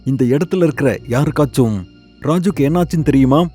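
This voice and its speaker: A man's voice: mid-range voice, brisk, warm tone, husky, soft background music, natural voice. A man voicing a stern village headman in a cartoon story, asking questions formally. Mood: grave.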